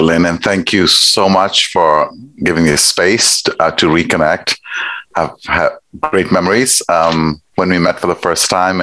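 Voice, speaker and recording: Middle-aged man from Nepal, very low voice, dry sound; measured, thoughtful interview guest. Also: bright, forceful tone, dry recording, poor audio. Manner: thoughtful, measured, with pauses and fillers. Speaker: guest, executive director. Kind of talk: conversational interview.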